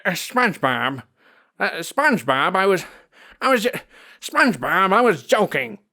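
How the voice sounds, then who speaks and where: A man voicing a droll, sour cartoon squid with drawn-out, dramatic storytelling. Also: sits high, sing-song, bright, forceful tone, no room sound, full character voice. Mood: sheepish. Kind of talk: amateur cartoon voice acting.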